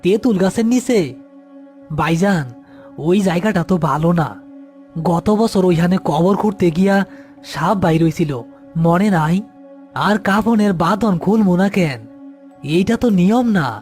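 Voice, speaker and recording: Man playing a high-voiced, frightened young gravedigger. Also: darkish tone, faint music behind, natural voice. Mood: uneasy. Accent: rural Bangladeshi. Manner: fearful, halting, repeats words. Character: frightened, superstitious young male gravedigger's helper.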